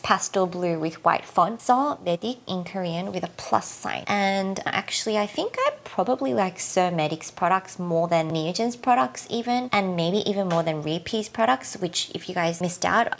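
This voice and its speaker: A woman in her thirties talking casually through a product review, her voice husky and croaky from tiredness, with frequent "like" fillers. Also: medium pitch. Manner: chatty, filler-heavy, uses "like" often. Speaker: beauty vlogger.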